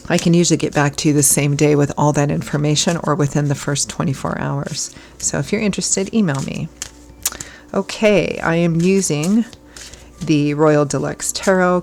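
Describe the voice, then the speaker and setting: A hoarse woman giving a casual tarot reading as host, chatty and reassuring, her voice still froggy from illness. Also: low-pitched, a little mellow. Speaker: tarot reader.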